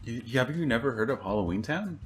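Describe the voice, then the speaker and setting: A man's voice: medium pitch, expressive, quick pace, soft background music. A man chatting casually as a co-host, with a wry, joking way of talking. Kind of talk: casual chat.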